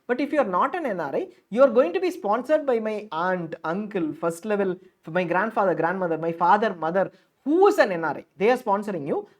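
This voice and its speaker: A woman walking viewers through an online application form, explaining each step with frequent fillers and rephrasings. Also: mid-range voice. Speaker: counselling guide presenter. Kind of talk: screen-share tutorial walkthrough.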